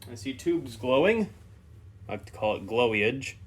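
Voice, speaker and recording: A man's voice: mid-range voice, lively intonation, normal speed, slightly rough. A man casually narrating a radio repair unscripted, thinking aloud in an offhand way in a basement. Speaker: hobbyist radio repairer and presenter. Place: stone-lined basement.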